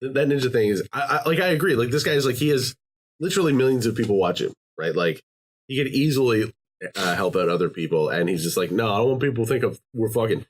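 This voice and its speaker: A man hosting a casual podcast chat, his pitch sitting in an ordinary middle range. He rambles, piling up fillers and restarting his sentences as he goes. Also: lively intonation, quick pace. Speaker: podcast host.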